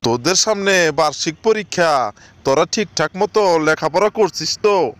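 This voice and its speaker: A man plays a fussy cartoon schoolmaster with a fairly high male voice. He lectures and scolds his pupils, always on the edge of exasperation. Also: lively intonation, normal speed, a bit bright, no room sound. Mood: instructive. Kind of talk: comedy cartoon dialogue.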